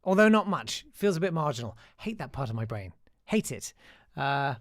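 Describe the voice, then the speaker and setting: A man's voice: fairly high pitch, sing-song, moderate pace, no echo, studio quality. A middle-aged British man hosting, chatting to viewers in a rambling, self-mocking way. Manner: rambling, self-mocking, lots of fillers and sighs. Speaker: host. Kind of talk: casual self-deprecating chat to viewers.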